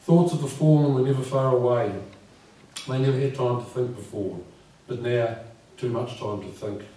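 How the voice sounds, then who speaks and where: A man in his fifties with a croaky voice, reading his poems at a live event and speaking gently and unhurriedly. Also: medium pitch, fairly even intonation, normal speed. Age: 50s. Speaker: poet. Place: live venue with audience. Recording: echoey room from far off.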